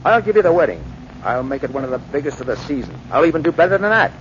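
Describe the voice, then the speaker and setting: A man's voice: a little high, very animated, fast. An actor playing an earnest, shy, easily wounded businessman in a 1940s radio comedy. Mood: cold, wounded. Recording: noisy, dull 1940s radio recording.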